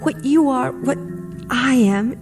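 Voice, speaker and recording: Casting: devoted lover, fairly high mellow voice, hesitant, earnest, classic TV drama. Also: female voice, slow. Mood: pleading. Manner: hesitant, pleading, tender. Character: earnest, devoted woman reunited with her lost love. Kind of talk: mid-century television drama acting. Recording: vintage soundtrack with music behind. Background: dramatic music.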